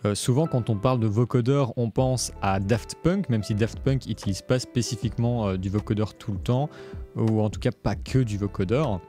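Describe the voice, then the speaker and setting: A man's voice: fairly low pitch, normal speed, mellow. A man presenting a music production tutorial in a casual, step-by-step explanatory way. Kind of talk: casual screencast tutorial narration.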